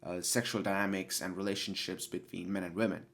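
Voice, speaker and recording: Sniffly man with a cold, low voice. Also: level tone, brisk, fairly bright tone. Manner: earnest, hesitant, self-correcting. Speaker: podcast host. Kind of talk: solo podcast monologue.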